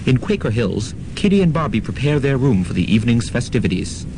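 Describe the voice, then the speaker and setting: A man's voice: big pitch swings, a little fast. A man reading scripted recap narration as the announcer of a student radio drama. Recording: noisy rumbling amateur student radio recording.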